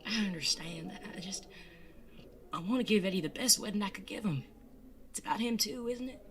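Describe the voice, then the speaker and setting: A woman acting in an audio drama as an earnest, nervous young bandit groom with a halting manner. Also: mid-range voice, lively intonation, a little hiss or hum, natural voice. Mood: anxious.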